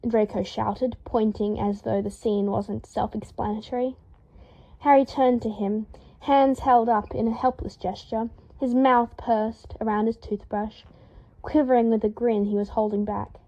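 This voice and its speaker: A woman reading fiction aloud as an expressive, scripted narration, with emphatic delivery. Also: a little high, measured pace, highs cut off. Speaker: podfic narrator.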